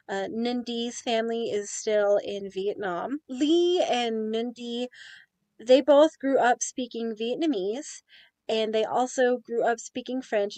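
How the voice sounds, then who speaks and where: A young woman presenting a school assignment report in a steady, earnest way. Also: a little high, dry recording. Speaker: student presenter. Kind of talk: reading a class report.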